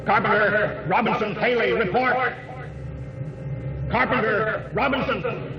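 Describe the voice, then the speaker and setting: A man's voice: sits high, takes it slowly. A man playing a stern male spaceship captain who gives blunt, clipped orders in a 1950s radio drama. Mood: urgent. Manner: clipped orders, turning to urgent shouting. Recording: very noisy old radio broadcast.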